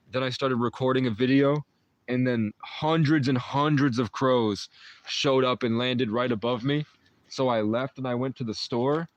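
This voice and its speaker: A young man in his twenties hosts a loose livestream, his voice sitting at an ordinary middle pitch. He drifts from thought to thought, cutting himself off and chuckling. The sound is dry and close, with no room around it. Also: dry recording, muffled recording. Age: 20s. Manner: meandering, mystical talk with self-interrupting restarts and chuckles. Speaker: livestream host. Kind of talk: rambling livestream chat with viewers.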